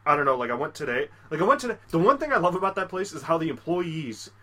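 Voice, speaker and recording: Young guy podcast cohost, kinda high bright voice, rambly crude storytelling, laughs a lot. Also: sing-song, quick pace. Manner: rambling, laughing, slips into mock voices for quoted people. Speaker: podcast co-host. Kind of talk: crude casual chat.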